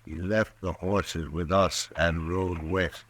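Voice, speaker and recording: A deep, stern chief. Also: male voice, steady tone, fairly bright tone, a little hiss or hum. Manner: slow, formal, clipped speech. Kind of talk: 1950s radio drama acting.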